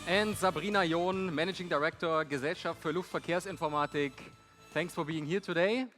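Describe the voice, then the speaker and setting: A man's voice: on the high side, brisk, a bit bright, faint music behind. A man moderating a conference panel, introducing the topic and speakers from the stage. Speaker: panel moderator, university lecturer. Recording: echoey hall.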